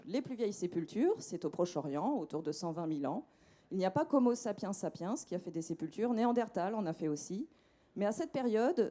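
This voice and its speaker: A woman's voice: medium pitch, mellow. A woman researcher giving a short public science talk, explaining step by step with frequent restarts.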